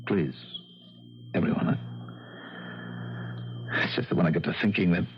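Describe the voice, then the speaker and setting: A man's voice: deep voice, moderate pace, faint music behind, natural voice. An actor playing an agitated, bitter concert pianist who lost his hand, in a theatrical 1970s radio drama style. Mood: anguished, accusing. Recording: dull, noisy 1970s radio recording.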